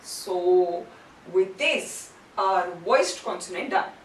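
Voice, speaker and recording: A woman's voice: medium pitch, animated, slow. A woman teaching a language lesson in a didactic way, posing questions and answering them herself. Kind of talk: instructional lesson, explaining and drilling sounds. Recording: roomy echo, distant mic, faint noise.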